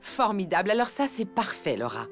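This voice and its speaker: A woman's voice: mid-range voice, sing-song, brisk, soft background music, natural voice. An actress playing an encouraging, cheerful, capable mother in a children's cartoon, speaking in a motherly way. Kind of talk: French children's cartoon voice acting.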